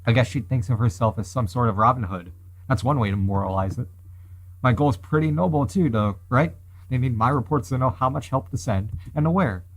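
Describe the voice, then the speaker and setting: A man's voice: mid-range voice, a little fast, natural voice, poor audio. A man voicing a wary, nerdy hostage inventor who argues dryly in a visual novel. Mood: wary, reasoning. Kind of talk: reading visual novel dialogue and inner thoughts.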